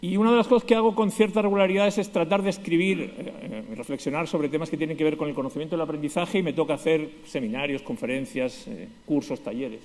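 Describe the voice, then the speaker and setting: A middle-aged Spanish-accented man speaks quickly, with a fairly high voice, as he delivers a conference keynote. He is ironic and pokes fun at himself. His voice carries a long hall reverb. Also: darkish tone. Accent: Spanish from Spain. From Spain, Basque Country. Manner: ironic, self-deprecating humor.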